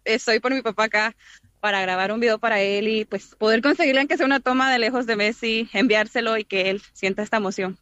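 A woman's voice: fairly high pitch, fast talker, fairly bright tone. A woman with a Honduran accent, a football fan answering a casual interview. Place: outdoors at a team base entrance. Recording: roomy, dull remote link.

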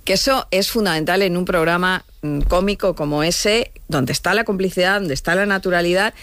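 A woman's voice: fairly low pitch, brisk, fairly bright tone, dry recording. A woman hosting a radio interview, chatty and rambling. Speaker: radio host. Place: radio studio.

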